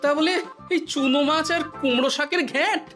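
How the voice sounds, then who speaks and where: A woman's voice: high-pitched, fairly quick, over music, close to an everyday voice. A woman playing a put-upon, grumbling middle-aged father-in-law in a cartoon, exasperated and plaintive. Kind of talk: cartoon story acting.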